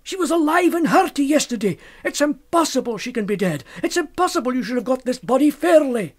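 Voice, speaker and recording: Man acting out an agitated, high-pitched young student. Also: sing-song, brisk, heavily acted. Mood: agitated protest. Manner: emphatic, exclaiming, protesting. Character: agitated, horrified young medical student, male, earnest and alarmed.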